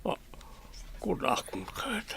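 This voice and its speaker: A man playing an ailing, skeptical, well-off father with a husky, gravelly voice in a comedy radio drama. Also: slow, a bit bright, whispered. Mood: weak, doubtful.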